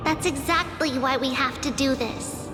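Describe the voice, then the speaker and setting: Game role: actress as tiny demigod maiden, extremely high girlish voice, earnest and determined, reverberant. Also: moderate pace, close to an everyday voice. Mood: earnest, resolute. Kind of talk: dramatic video game voice acting. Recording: echoey long reverb under music.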